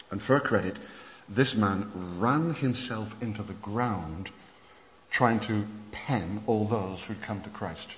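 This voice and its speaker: Male preacher, lively storyteller, low voice, dull hall recording with faint background noise. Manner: animated storytelling with many restarts. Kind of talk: sermon lecture on church history. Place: conference hall. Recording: muffled hall recording with long reverb.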